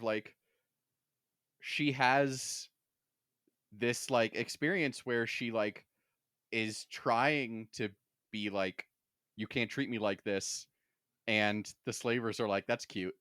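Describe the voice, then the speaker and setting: A man's voice: mid-range voice, slow pace, long pauses, a bit bright, clear, resonant tone, no echo. A man co-hosting a podcast, chatting casually with wry, dry humor. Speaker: podcast co-host. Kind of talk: casual chat, book discussion.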